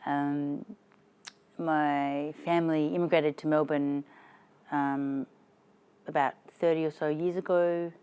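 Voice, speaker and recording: Low, flat-voiced woman, very clear. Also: slow, long pauses. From Israel. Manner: hesitant, lots of fillers, chatty. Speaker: resident artist. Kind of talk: documentary interview.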